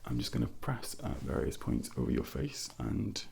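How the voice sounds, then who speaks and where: A man speaks in a soft, breathy, near-whispered voice full of heavy creak. He plays an ASMR doctor, gently guiding a patient through an exam with unhurried, reassuring instructions. His pitch moves around freely, and there is faint noise behind him. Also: sing-song. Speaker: ASMR performer role-playing a doctor. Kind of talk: soft-spoken ASMR medical exam role-play.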